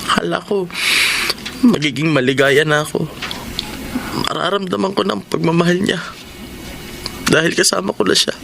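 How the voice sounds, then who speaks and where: An actor playing a meek, mistreated young son in a radio drama, hesitant, polite and pleading. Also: male voice, fairly high pitch, steady tone, normal speed, creaky, long pauses, background noise. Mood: sad, hurt.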